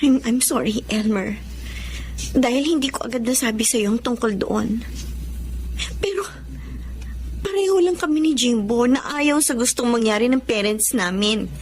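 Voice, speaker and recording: An actress playing an earnest, dutiful young daughter in a Filipino melodrama, with a polite, pleading manner. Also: female voice, a little high, normal speed, pauses often, darkish tone, close to an everyday voice. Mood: apologetic. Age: young adult.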